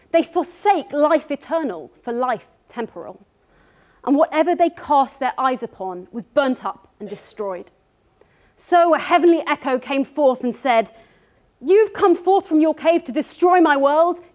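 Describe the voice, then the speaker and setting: A woman in her thirties giving a prepared talk on a dark stage, with an earnest, measured delivery as she reads from her notes. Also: high-pitched, animated. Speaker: speaker. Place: dark stage before an audience.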